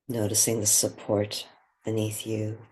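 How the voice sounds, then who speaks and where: A man guides a meditation at a very slow, unhurried pace. His voice is soft and breathy, and he leaves long pauses between phrases. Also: on the low side, monotone, low-quality recording.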